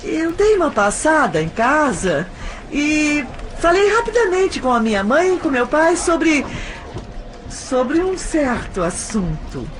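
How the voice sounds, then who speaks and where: A high-pitched, anxious young Brazilian woman, played by an actress, speaks as a jealous lover in an audio drama. Her delivery is slow, halting and emotional, with big swings in pitch. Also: big pitch swings, full character voice. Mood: nervous, tender. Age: young adult.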